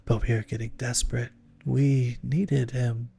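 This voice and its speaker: A man voicing a softly pleading, taunting female shadow demon in an audio horror story, with a coaxing, apologetic manner. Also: mid-range voice, little pitch movement, measured pace, vocal fry, husky, full character voice. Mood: sorrowful taunting.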